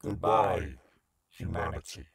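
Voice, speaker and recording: A man playing a vengeful, misanthropic scientist turned half-machine, delivering a deliberate, menacing log in an audio drama. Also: low-pitched, slightly rough, dry recording, heavily acted. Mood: bitter, vengeful.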